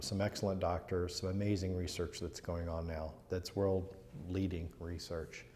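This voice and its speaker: A man's voice: sits low, little pitch movement, moderate pace, darkish tone. A man speaking as a city official in an interview, recorded in a reverberant space with faint noise. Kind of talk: spontaneous interview answers.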